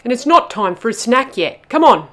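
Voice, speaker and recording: A woman voicing a bossy, impatient male teddy bear in a children's storybook, brisk, snappy and self-assured. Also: lowish voice, very animated, dark tone, slightly rough, full character voice. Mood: brisk, confident. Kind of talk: children's storybook read-aloud.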